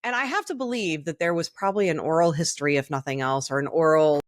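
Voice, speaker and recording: A woman's voice: deep voice, quick pace, no room sound. A woman co-hosting a casual podcast, talking candidly and enthusiastically with rambling asides, close to the mic. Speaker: podcast co-host. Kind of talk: casual conversational podcast chat.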